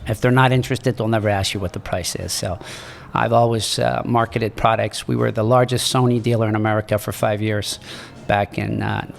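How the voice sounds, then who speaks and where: A man in his sixties from Morocco, a native French speaker, telling his life story as a podcast guest in a halting, earnest way. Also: on the low side, steady tone, creaky, long reverb tail, faint music behind. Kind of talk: reflective storytelling in an interview.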